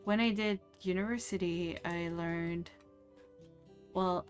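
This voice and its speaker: Slow, rambling woman streamer. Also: fairly low pitch, steady tone, soft background music. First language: French. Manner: slow, rambling, many fillers and restarts. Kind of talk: casual chat while playing a game.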